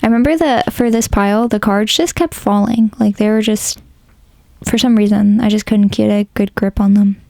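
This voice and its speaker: Hoarse, gravelly woman reading tarot. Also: medium pitch, steady tone, normal speed, darkish tone, no echo. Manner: casual and rambling, with frequent ums and likes. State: hoarse, losing her voice. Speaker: tarot reader. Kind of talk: pick-a-card tarot reading voiceover.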